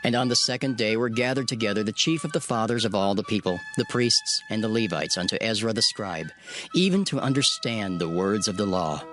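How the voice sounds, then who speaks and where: A man narrating a dramatized scripture reading in a formal, measured storytelling manner. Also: on the low side, lively intonation, fairly bright tone, soft background music.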